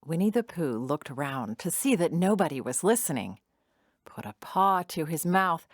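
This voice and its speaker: A woman narrating a children's storybook drama in an expressive, deliberate storytelling manner. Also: low voice, no echo, studio quality.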